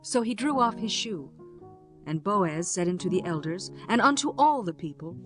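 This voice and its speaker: Mid-pitched woman narrating scripture. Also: lively intonation, faint music behind. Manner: measured, formal.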